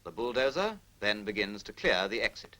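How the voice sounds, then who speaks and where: A man's voice: fairly low pitch, sing-song, moderate pace, bright, forceful tone, rough recording. A British man reading formal scripted narration in the manner of a 1940s military training film, precise, instructional and impersonal.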